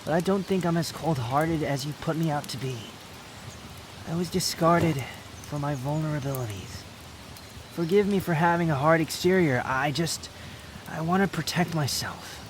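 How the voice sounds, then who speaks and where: A proud young man, played by a male actor, speaks in a fairly high voice, bitter and guarded with tenderness underneath. The delivery is an intimate, brooding ASMR roleplay, curt at the edges and confessional. Clear outdoor nature ambience sits behind the voice. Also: normal speed, noisy background, close to an everyday voice. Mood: guarded, vulnerable.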